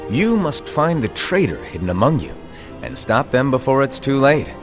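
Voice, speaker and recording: An actor playing an eccentric, wise mentor who is absent-minded yet solemn, speaking deliberately and theatrically in a game cutscene. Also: male voice, mid-range voice, sing-song. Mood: grave, urging. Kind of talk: cinematic game cutscene acting. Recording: music behind the voice.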